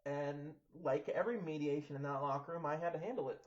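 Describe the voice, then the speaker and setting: A man's voice: a little high, fast. A man in his thirties in casual chat, blunt, joking and swearing freely. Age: 30s. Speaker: wrestler, podcast co-host. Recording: dull lo-fi sound.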